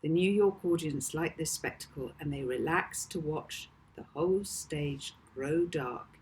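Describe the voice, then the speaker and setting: A woman reading a children's novel aloud in a measured, theatrical way with deliberate emphasis. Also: sits low, darkish tone, slight background noise, rough recording. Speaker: author reading own novel. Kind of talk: dramatic audiobook narration of a children's story.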